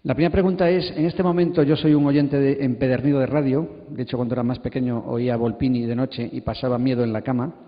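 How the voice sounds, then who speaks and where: A man's voice: medium pitch, flat delivery, fast talker, few pauses. Reverberant middle-aged Spanish man moderating. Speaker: panel moderator. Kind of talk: hesitant spoken moderating. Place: large hall at a congress. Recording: muffled, long hall reverb.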